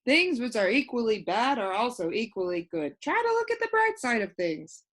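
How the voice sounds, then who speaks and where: A woman voicing a storybook humbug, a pompous, flattering, fickle male bug courtier who talks in flowery, repetitive, emphatic phrases. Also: fairly high pitch, moderate pace. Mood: eager.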